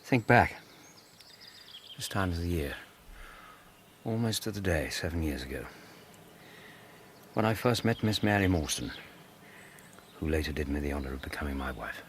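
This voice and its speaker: Melancholy loyal English gentleman, very low, expressive intonation, reflective, radio drama with faint outdoor sounds. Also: moderate pace, pauses often, slight background noise. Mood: grieving, reflective. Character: loyal, melancholy widowed gentleman, detective's companion.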